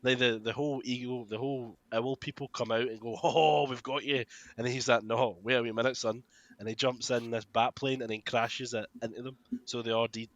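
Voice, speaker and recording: Man, podcast co-host, bright voice, dry close sound, sweary storyteller. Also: mid-range voice, brisk, no echo. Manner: swears freely, animated storytelling. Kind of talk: casual chat.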